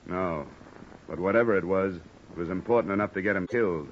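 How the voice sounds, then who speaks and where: An actor playing a tough, wry insurance investigator in an old radio drama, clipped and deadpan. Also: male voice, low-pitched, fairly quick, natural voice. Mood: dry, guarded. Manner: deadpan, clipped hardboiled wisecracking. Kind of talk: 1940s-50s radio detective drama acting. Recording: muffled, noisy old radio broadcast recording.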